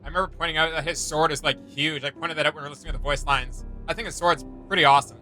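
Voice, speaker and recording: A man's voice: a little high, fairly even intonation, rapid-fire, bright, forceful tone, soft background music. A man hosting a reaction video, chatting casually and giving blunt, emphatic opinions with a teasing edge.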